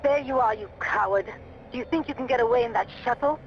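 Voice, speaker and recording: A man's voice: very high voice, fast talker, slight background noise. A man playing an earnest, defiant young defector pilot in a video game cutscene, speaking in plain military terms. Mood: angry defiance. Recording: muffled lo-fi game audio, music behind.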